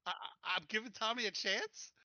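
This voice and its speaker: A man talks through plans as a player in a tabletop game, blunt and informal. His voice sits a bit high and is extremely bright and hard-edged. The audio is close and dry, a little dull in fidelity. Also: normal speed, no room sound. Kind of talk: casual game-table planning.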